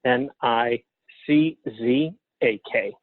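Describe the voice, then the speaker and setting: A man's voice: medium pitch, slow, a crowd in the background. Man on a thin phone line. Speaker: interview guest, playwright and librettist. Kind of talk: casual interview conversation. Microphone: phone or call line.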